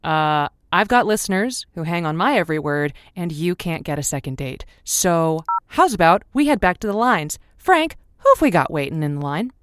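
A woman's voice: on the low side, sing-song, moderate pace, few pauses, no room sound, studio quality. A woman playing a chatty, folksy and somewhat hesitant advice host in an improvised radio drama. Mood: needled, sarcastic. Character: chatty female advice-show host, encouraging yet easily needled. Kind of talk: semi-improvised audio drama as radio show.

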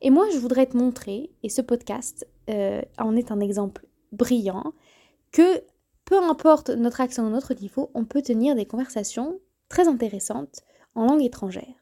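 Bright-voiced French woman hosting a podcast. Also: fairly high pitch, normal speed. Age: 30s. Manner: warm, addresses listener directly, self-correcting. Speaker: podcast host. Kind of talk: solo podcast trailer monologue.

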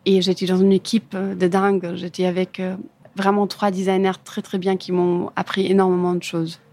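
A woman's voice: lowish voice, monotone, moderate pace, darkish tone. A woman from Germany with a German accent, a native German speaker answering interview questions casually in imperfect French, often searching for words. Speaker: interview guest, furniture designer. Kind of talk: casual interview answers about own career.

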